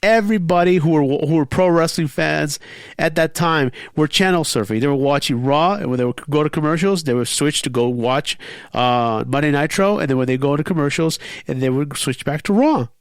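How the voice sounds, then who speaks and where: A man's voice: on the high side, fairly quick, clear, resonant tone, dry recording, studio quality. A man in his forties with a stuffy, cold-clogged voice, hosting an unscripted podcast in a rambling, profane, heated way. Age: 40s. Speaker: podcast host. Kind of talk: unscripted casual podcast rant.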